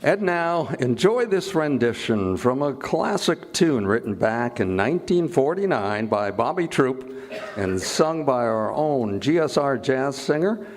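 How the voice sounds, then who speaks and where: A man's voice: medium pitch, expressive, a little slow, background noise. A man announcing and reading sponsor copy in the style of an old-time radio presenter, with showman-like delivery. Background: music.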